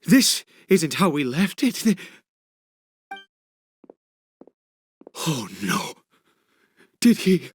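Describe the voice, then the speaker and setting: An actor playing a frantic, fanatical father in a video game, speaking in a halting, stammering, theatrical way. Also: on the high side, sing-song, slightly rough, exaggerated voice. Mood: alarmed frustration. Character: frantic, fanatical father searching for his missing son. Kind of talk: dramatic video game voice acting.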